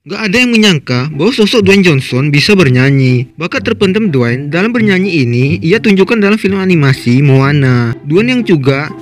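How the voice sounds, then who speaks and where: A man's voice: fairly high pitch, very animated, a little fast, few pauses, soft background music. A man with an Indonesian accent reading scripted narration for a video.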